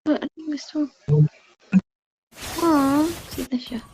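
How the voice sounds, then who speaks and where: A woman's voice: high-pitched, slow pace, a little mellow, a little hiss or hum. A Filipino woman chatting casually while gaming, playful and exclamatory, slipping into English.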